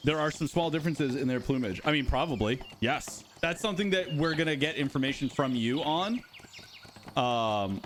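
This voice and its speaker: A man's voice: a little high, animated, normal speed, slight background noise. A man hosting a casual live stream for a game studio, chatting and answering viewers' questions. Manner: chatty, rambling, talks to chat.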